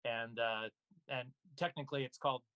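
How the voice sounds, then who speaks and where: A man's voice: mid-range voice, steady tone, moderate pace, pushed and bright, clean tone. A man speaking casually as an interview guest, an actor and narrator talking about his own work.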